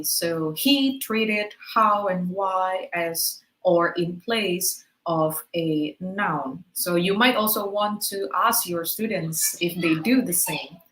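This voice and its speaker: A woman gives a patient webinar lecture to teachers, walking through examples one by one and checking her listeners follow along. Her voice sits at an ordinary middle pitch. The sound carries a noticeable room echo. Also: fairly bright tone. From Indonesia. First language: Indonesian. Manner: explanatory, checks in with "right?". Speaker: webinar speaker.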